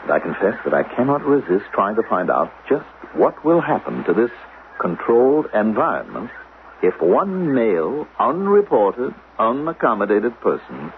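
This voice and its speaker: An old, narrow radio recording with faint noise carries an officious state investigator from a futuristic radio play. His delivery is formal and commanding, with very animated intonation and every phrase set out precisely. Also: on the low side, moderate pace. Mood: wry, confiding. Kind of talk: 1970s radio drama acting.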